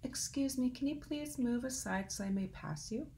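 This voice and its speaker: A woman voicing a small polite male wren bird in a told story, asking in a high polite voice. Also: moderate pace, creaky, dark tone, slight background noise.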